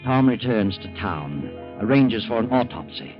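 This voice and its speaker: An actor as a grave, suspenseful storyteller, narrating an old-time radio drama in a deliberate, portentous voice. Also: male voice, lowish voice, expressive, natural voice. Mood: urgent, suspenseful. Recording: muffled old radio recording. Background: prominent plucked-string music.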